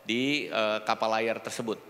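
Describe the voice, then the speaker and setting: A man interpreting a sermon live on a large church stage into a handheld mic, rendering each phrase quickly with many fillers. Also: fairly low pitch, fairly bright tone. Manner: fast, filler-heavy rendering with "ya" and "eh". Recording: long hall reverb.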